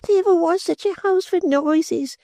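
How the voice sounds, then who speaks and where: Guy putting on a scared rustic servant lady, squeaky and high, pleading, dry close sound. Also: moderate pace, warm tone, dry recording. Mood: fearful. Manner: rustic ungrammatical speech, pleading. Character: frightened servant woman, timid, superstitious. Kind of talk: audiobook character voice.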